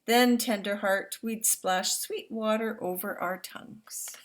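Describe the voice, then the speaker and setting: A woman reading the lines of a loving father who gently reassures his son, from a picture book. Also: mid-range voice, steady tone, takes it slowly, natural voice. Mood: tender. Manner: tender, reassuring promises. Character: loving Maasai father, steady and protective. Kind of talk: read-aloud picture book.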